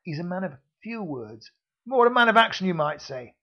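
A man's voice: high-pitched, sing-song, moderate pace, voice pushed up. A man voicing a menacing male secret-service heavy who bullies with mock politeness, on a dull lo-fi recording. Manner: mock-polite, sneering, threatening.

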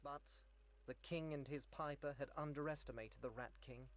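An actor playing a solemn storybook narrator of a dark fairy tale in a game cutscene. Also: male voice, on the high side, fairly even intonation, normal speed, close to an everyday voice. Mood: grave. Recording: music under the voice.

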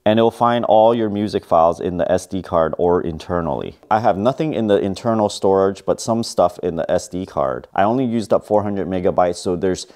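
A man's voice: on the low side, mellow. A middle-aged man narrating a product review in a plain, matter-of-fact way, often listing features in clipped phrases. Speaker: tech reviewer.

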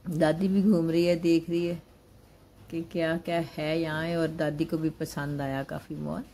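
A woman's voice: sits low, little pitch movement, a little slow, dark tone, slight background noise. An older woman in a busy indoor mall, narrating a family outing in a homely way into her handheld phone. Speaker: vlogger, grandmother. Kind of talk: casual vlog narration. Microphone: handheld phone camera.

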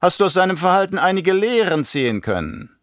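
High-pitched, sneering villain baron. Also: male voice, very animated, fairly quick, fairly bright tone, no echo, clean studio sound. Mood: condescending. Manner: silky condescending, theatrical villain delivery. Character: scheming, mocking, imperious older male baron, uncle. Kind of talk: audiobook character acting.

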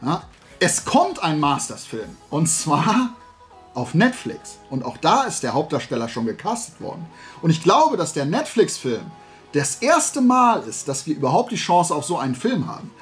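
A middle-aged man hosting a casual, opinionated talk show, speaking colloquially in an animated ranting manner. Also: fairly high pitch, moderate pace, faint music behind. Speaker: host. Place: small room at home, indoors, at a desk.

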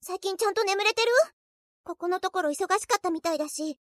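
A woman's voice: extremely high pitch, fairly quick, dry recording, clean studio sound. A woman playing a cheerful, proud, self-confident young idol in an anime game, with a perky, theatrical, girlish delivery. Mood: concerned. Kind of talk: anime game character voice lines.

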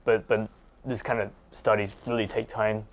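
A man in his forties with a slightly low voice, chatting as an interviewer in a small office. His style is casual and agreeable, with repeated words and frequent affirmations. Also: little pitch movement, moderate pace, a little hiss or hum. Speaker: interviewer, host.

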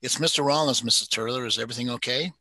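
A man's voice: mid-range voice, rapid-fire, sharp tone, no echo. A man reading a plainspoken school custodian. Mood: wary. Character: wary working-class male school custodian.

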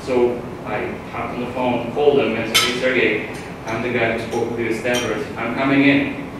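A man's voice: medium pitch, fairly even intonation, moderate pace. A middle-aged man from Russia, a startup CEO, telling a casual story to an audience with lots of fillers and restarts. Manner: chatty, many fillers and restarts. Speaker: tech startup CEO giving a talk. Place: small conference room with audience. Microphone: far camera, no mic on him. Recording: very echoey room from far off, audible noise.

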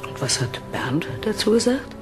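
A woman's voice: mid-range voice, sing-song, normal speed, over music, close to an everyday voice. A woman acting a composed, direct police detective in a crime radio play, asking pointed questions. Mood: calm, probing.

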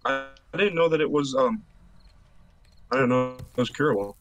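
Joking, sweary man with a mid-pitched voice. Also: moderate pace. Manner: joking, sweary, animated reactions. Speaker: fellow player on voice chat. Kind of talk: casual gaming banter.

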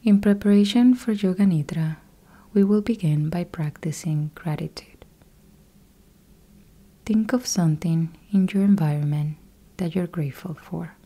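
A woman leads a guided meditation in a mellow, breathy voice with a husky edge. She speaks very slowly and gently, in calm, measured phrases with long pauses between them. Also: fairly low pitch. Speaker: yoga nidra meditation guide. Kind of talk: guided meditation, slow instructional.

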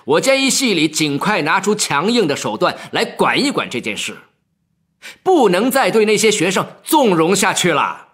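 A man's voice: sits high, big pitch swings, moderate pace, fairly bright tone, slightly rough, voice pushed up, full character voice. A man playing an officious, indignant assistant department head in an audio story, speaking in a stern, righteous, pointed manner.

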